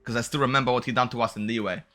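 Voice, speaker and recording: Bright-voiced excitable man streaming a game. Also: medium pitch, rapid-fire. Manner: slangy, excitable, chatty. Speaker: gaming streamer. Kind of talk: casual playthrough commentary. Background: video game music.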